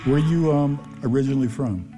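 A man's voice: medium pitch, a little fast, mellow, faint music behind. A man hosting a casual interview, easygoing and encouraging. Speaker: podcast host.

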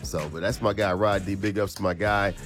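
A man's voice: fairly low pitch, steady tone, a little fast, faint music behind. A congested man hosting morning radio, talking in hype, repetitive call-and-response banter with his co-host.

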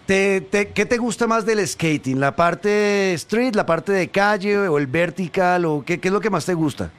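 A man's voice: high voice, measured pace, clear, resonant tone. A man hosting a live interview, asking questions and explaining things patiently for viewers. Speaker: host.

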